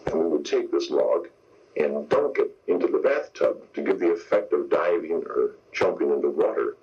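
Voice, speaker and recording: On a slightly dull radio tape, an older man reminisces. His voice is deep and husky, and he talks in a halting, plain-spoken way. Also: big pitch swings, darkish tone. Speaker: veteran radio sound effects man being interviewed. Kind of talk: casual anecdote in an interview.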